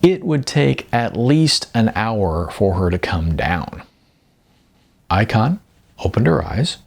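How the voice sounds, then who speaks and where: A man who is an author reading his own novel as audiobook narration, with expressive emphasis and drawn-out words. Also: fairly low pitch, gravelly.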